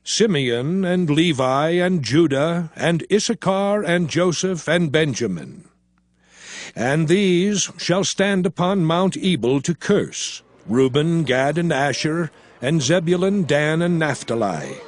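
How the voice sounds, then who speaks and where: A lawgiver speaks slowly and deliberately, declaiming his orders in a slightly raised voice. The recording is dry, with no room sound. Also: on the high side, expressive, no room sound.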